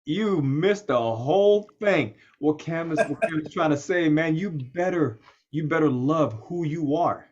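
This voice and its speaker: A man hosting an interview show, enthusiastic, slowing down to stress his points. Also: dull audio. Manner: enthusiastic, slows down for emphasis, lively pitch jumps. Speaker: host.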